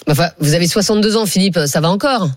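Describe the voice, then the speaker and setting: A woman hosting a radio call-in show, moving things along briskly and often laughing and teasing. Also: fairly low pitch, dry recording. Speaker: radio host.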